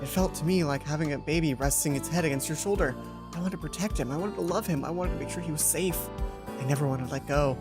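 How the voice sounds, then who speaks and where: Low-voiced woman narrating over music. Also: fast. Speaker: let's play host. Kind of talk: reading game narration aloud with casual asides. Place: closed hot room at home.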